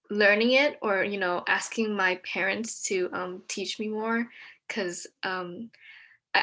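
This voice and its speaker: Hesitant young woman guest. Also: medium pitch. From Texas, US. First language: Vietnamese. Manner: hesitant, many ums, soft-spoken. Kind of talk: casual conversation.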